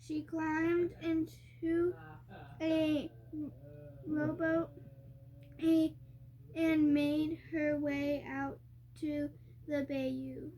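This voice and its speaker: A young child reading a storybook aloud, haltingly and word by word, stumbling over harder words. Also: high voice, little pitch movement, warm tone, rough recording.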